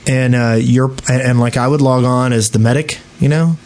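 A man's voice: mid-range voice, steady tone, moderate pace, a little mellow. A man co-hosting a podcast, talking casually with others about online gaming. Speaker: podcast co-host.

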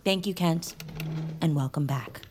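An actress playing a patient, encouraging woman who gently leads a support group in an audio drama. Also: deep voice, unhurried, noisy background.

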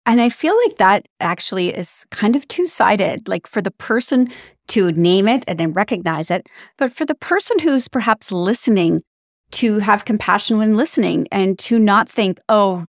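A woman's voice: medium pitch, lively intonation, normal speed, no echo, clean studio sound. A woman hosting a podcast interview, friendly and reflective, sharing personal stories. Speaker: podcast host.

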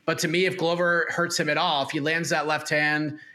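A man's voice: a little high, little pitch movement, brisk, bright, forceful tone. A man hosting a casual sports talk show, speaking emphatically in short, punchy phrases. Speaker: host of an MMA preview show.

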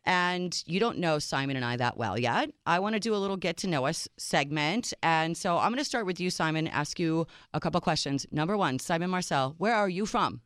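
Woman co-host, Serbian first language, chatty and quick, smooth and dry. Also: low voice, lively intonation, a bit bright, dry recording. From Minneapolis, Minnesota. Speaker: radio show co-host. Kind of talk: casual radio talk.

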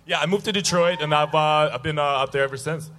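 A young man from Russia, a guest on a live podcast on a festival stage, talking with many hesitant pauses. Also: fairly high pitch, fairly bright tone. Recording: live stage with crowd noise.

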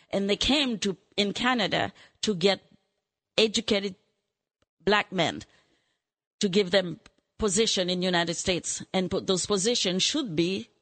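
A woman's voice: mid-range voice, a bit bright. A woman, a studio guest speaking emphatically and indignantly. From Haiti. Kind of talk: casual conversation.

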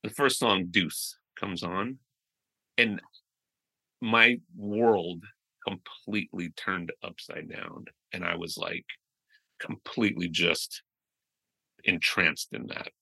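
A man chatting as a podcast guest, telling stories with animated restarts and trailing phrases. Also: deep voice, slow pace, gravelly, long pauses, breathy edge, low-quality recording. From United States. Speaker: guest musician. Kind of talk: casual interview chat.

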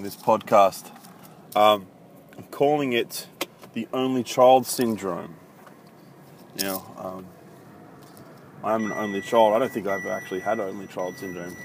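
A low-voiced Australian man in his thirties rambles through a solo podcast, swearing freely and hesitating with plenty of ums. It is recorded on a phone, with loud traffic and street noise behind him. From country Victoria, Australia. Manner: blunt, profane, rambling with many ums. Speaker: podcast host. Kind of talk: casual solo podcast monologue. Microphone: iPhone.

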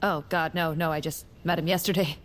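An actress playing a polite, awkward newcomer among crooks in an audio drama, tentative and easily flustered. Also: female voice, sits low, steady tone, fairly quick, close to an everyday voice.

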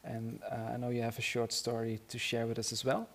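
A man moderating a literary event, introducing a guest in a halting way. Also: mid-range voice, monotone, gravelly. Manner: halting, with fillers.

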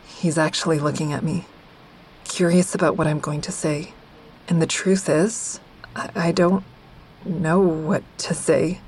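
Actress doing a thoughtful woman telling her own story in an audio drama, lowish voice, confiding, noise behind it. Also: darkish tone, lightly acted, low-quality recording. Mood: hesitant. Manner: confiding, reflective. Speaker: narrator and lead actor. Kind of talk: first-person audio drama narration.

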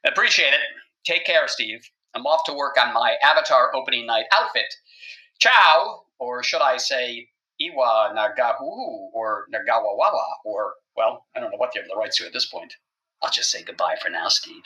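A man's voice: mid-range voice, expressive, normal speed, pushed and bright, poor audio. A man voicing a snide, gloating theatre columnist leaving an arch, mocking phone message in an audio comedy.

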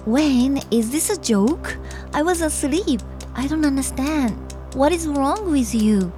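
A puzzled fiancée who has been woken up. Her voice is high, and she speaks in a natural, questioning way, as in an audio drama. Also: lively intonation, slow, mellow, faint music behind. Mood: confused, concerned. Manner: confused, questioning. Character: bewildered, concerned fiancée woken from sleep.

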